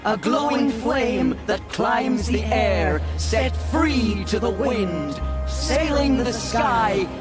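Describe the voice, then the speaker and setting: Slow, solemn priestess. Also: female voice, on the high side, lively intonation. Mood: solemn, reverent. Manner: measured, ceremonial, reverent. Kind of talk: video game cutscene acting. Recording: loud music under the voice.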